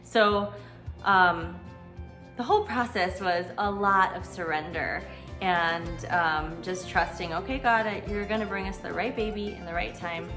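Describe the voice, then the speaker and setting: A woman's voice: lowish voice, fairly even intonation, unhurried, pushed and bright, soft background music. A middle-aged American woman talking conversationally in a personal storytelling vlog, earnest and reflective, with emphasis on key words. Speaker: vlogger telling her own story.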